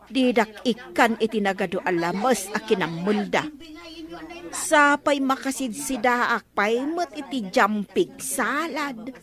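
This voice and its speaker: High-pitched younger village woman played by an actress, Ilocano accent, deferential and fretful, radio comedy drama. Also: natural voice. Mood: regretful.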